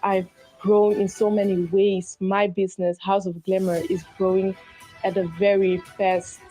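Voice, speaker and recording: A young woman speaks with enthusiasm in a testimonial interview. Her voice is warm and mellow and sits mid-range. Soft music plays behind her. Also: mid-range voice, level tone, unhurried, poor audio.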